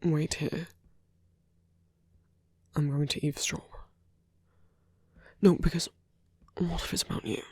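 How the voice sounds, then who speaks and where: A man playing a smitten, idealistic villain in an intimate ASMR roleplay, with a husky, often whispered voice and an earnest, coaxing manner. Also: fairly high pitch, fairly even intonation, takes it slowly, pauses often, no room sound, exaggerated voice. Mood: hushed, coaxing. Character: romantic male villain, smitten, idealistic, urging a lover to flee.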